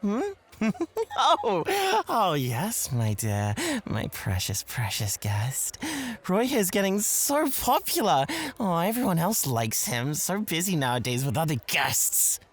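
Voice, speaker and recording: A woman plays an excitable, sly nightclub host who fawns over a guest in an ASMR roleplay. The voice is fairly low and slow, yet it swoops widely in pitch. The delivery is breathy, coy and possessive. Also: heavily acted. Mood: sly, teasing. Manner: breathy, gushing, giggly, stammering with excitement.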